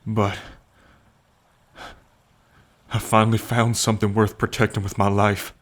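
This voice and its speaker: A man playing a gentle, devoted bull man with a violent past in an ASMR roleplay, speaking earnestly and tenderly with halting pauses. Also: on the low side, level tone, close to an everyday voice. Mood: grave resolve. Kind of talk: ASMR roleplay addressed to the listener.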